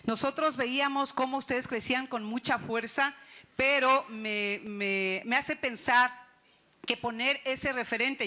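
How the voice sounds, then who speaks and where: Bright-voiced Ecuadorian woman, fairly high. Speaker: audience member asking a question. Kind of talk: spontaneous public question.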